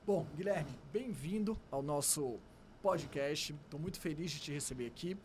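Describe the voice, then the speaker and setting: Male Brazilian podcast host and mentor, slightly high voice, interview delivery over faint ambient noise. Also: moderate pace, a little mellow. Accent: Brazilian Portuguese.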